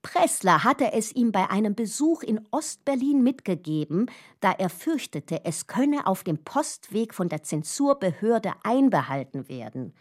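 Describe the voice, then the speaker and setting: Composed radio host lady reading an intro, formal, slow, very varied melody. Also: mid-range voice, very animated. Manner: formal, articulate. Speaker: radio announcer.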